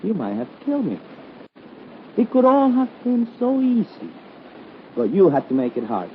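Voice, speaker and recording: Through a tinny old radio recording with faint noise, a menacing drifter. He taunts in formal, with widely swinging pitch, in 1950s suspense style. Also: wildly swooping pitch, moderate pace. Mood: threatening. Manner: taunting, formal uncontracted English. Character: menacing, smooth-talking Latin male drifter and schemer.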